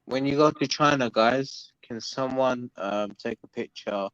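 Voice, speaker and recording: A man's voice: medium pitch, unhurried, fairly bright tone, no echo. Chatty London man, lo-fi dry audio. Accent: London English. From London, England. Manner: chatty, hesitant, many ums and uhs. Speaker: livestream host reading chat. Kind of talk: casual chat.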